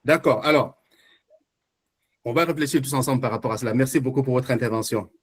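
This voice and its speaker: A man's voice: mid-range voice, fast, breathy edge. A man moderating a panel discussion, who thanks the speakers and puts forward his own argument in a sure tone. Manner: fluent, assertive, argumentative.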